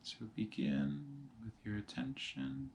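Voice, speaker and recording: A man teaching meditation, giving calm, measured instructions in short phrases with pauses between them. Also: mid-range voice, vocal fry, slight background noise. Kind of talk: guided meditation, slow spoken instructions.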